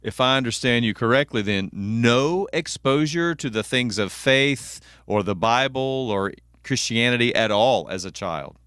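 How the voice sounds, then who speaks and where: Smooth-voiced radio host, dry studio. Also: male voice, medium pitch, lively intonation, unhurried, few pauses, a bit bright, no room sound. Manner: affirming, friendly interjections. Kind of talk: radio interview.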